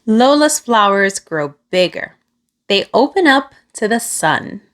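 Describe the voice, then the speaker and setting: Slow, lively woman reading a picture book. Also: mid-range voice. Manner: expressive, deliberate phrasing for young children. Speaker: children's storybook reader. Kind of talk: read-aloud picture book narration.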